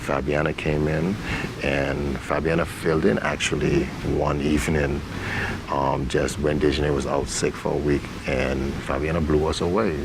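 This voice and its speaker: Very deep, creaky-voiced man in interview. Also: moderate pace. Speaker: stage play director being interviewed. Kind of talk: casual interview talk. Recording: steady background hiss and rumble.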